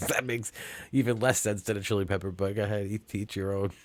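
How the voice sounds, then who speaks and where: A man's voice: on the low side, steady tone, fairly quick. A man co-hosting a comedy podcast, rambling through stories with many fillers and much swearing. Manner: rambling, filler-heavy, profane. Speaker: podcast co-host. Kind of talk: crude comedic banter.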